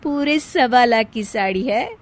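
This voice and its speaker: Woman playing young daughter-in-law, haughty show-off, high voice, swooping intonation, storybook tall-tale bragging. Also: animated, unhurried. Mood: showy bragging. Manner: haughty, showing off. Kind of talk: animated moral-story narration acting.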